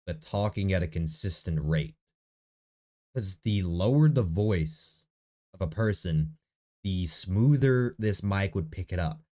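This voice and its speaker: A man's voice: on the low side, warm tone. A young man with a nasal voice, chatting casually in his bedroom while he prepares a tabletop game session, thinking aloud and correcting himself. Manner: rambling, self-correcting, talks to himself. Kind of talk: casual screen-recorded prep vlog. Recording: faint echo in the room.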